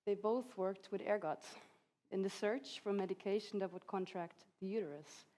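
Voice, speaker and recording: Woman, 30s, native Hungarian, mellow dark voice, conference lecture, laughs lightly. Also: mid-range voice. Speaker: conference speaker. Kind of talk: academic conference talk. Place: conference hall.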